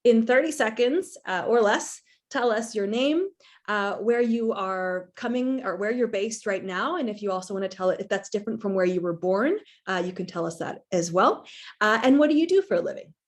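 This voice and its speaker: A woman's voice: mid-range voice, expressive, normal speed. A woman from the United States hosting a webinar over a video call, upbeat and welcoming, with frequent fillers. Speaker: webinar host, program manager.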